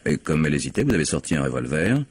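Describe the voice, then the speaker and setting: An actor playing an insistent, skeptical police investigator in a radio mystery, probing methodically with formal politeness. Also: male voice, a bass voice, very animated, very fast, slightly rough, exaggerated voice. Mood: accusing. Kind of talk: French radio mystery drama acting.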